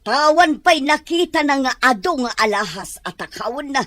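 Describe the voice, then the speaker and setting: A woman with a Filipino accent playing an accusing, sharp-tongued wealthy employer in an Ilocano audio drama. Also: high voice, expressive, normal speed, no room sound. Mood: suspicious, indignant.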